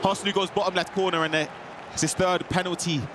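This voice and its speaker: A man co-commentating an esports football match with energetic, exclaiming delivery. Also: high voice, moderate pace, crowd noise, background noise. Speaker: esports co-caster. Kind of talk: sports commentary.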